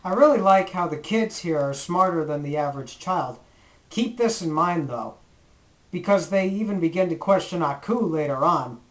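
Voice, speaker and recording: A man's voice: fairly high pitch, animated, normal speed, slight background noise. A man hosting a retrospective review, giving scripted commentary with playful, theatrical comic asides. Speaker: retrospective video host.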